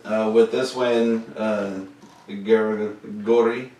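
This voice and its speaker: A man's voice: fairly low pitch, steady tone, takes it slowly, mellow, slight background noise. A young man co-hosting a casual sports podcast, laid-back and agreeable, often saying "dude.". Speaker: podcast co-host. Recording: roomy, distant mic.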